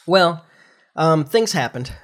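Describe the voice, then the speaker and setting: A man's voice: fairly high pitch, lively intonation, takes it slowly, a bit bright. Nasal man chatting on a podcast. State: congested after flu. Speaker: podcast host. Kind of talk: casual chat, explaining news informally.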